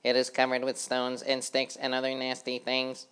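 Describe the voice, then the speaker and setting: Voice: medium pitch, monotone, normal speed, a bit bright, smooth, pitched above the performer's own voice, natural voice. A narrator voicing a fussy housefly who sweeps the road and never wants to rest. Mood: eager. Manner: eager, fussy.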